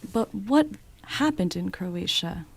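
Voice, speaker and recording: A woman interpreting a meeting speech simultaneously, relaying it at a measured, steady pace. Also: fairly low pitch, vocal fry.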